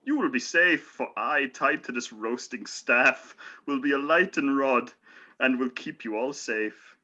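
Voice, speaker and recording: A man playing a cheeky, quick-witted captive of trolls, talking in wordy mock-archaic English for a folktale radio play. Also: mid-range voice, pushed and bright, muffled recording. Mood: pleading, wily.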